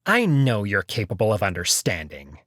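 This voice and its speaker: A man's voice: on the low side, huge pitch swings, normal speed, a bit bright, dry recording, studio quality, exaggerated voice. A man voicing a haughty, menacing male alien wolf noble in a visual novel, with an imperious, sneering manner. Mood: menacing, scornful.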